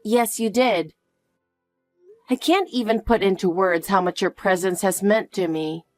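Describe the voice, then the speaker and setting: A woman playing a calm, protective mother who speaks softly and reassuringly to her daughter. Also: medium pitch, soft background music, lightly acted. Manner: soft, slow, reassuring. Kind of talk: dramatic dialogue.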